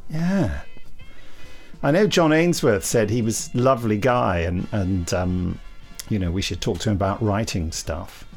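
A man's voice: lowish voice, unhurried, faint music behind. A British man co-hosting a podcast, chatting casually and reading emails aloud. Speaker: podcast co-host.

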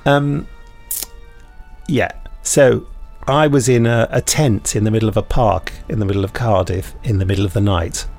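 British man with a slightly creaky voice. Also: lowish voice, normal speed, a little mellow, faint music behind. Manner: self-mocking, digressive, dryly jokey. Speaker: podcast host and audio drama maker. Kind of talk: casual chat, rambling anecdotes.

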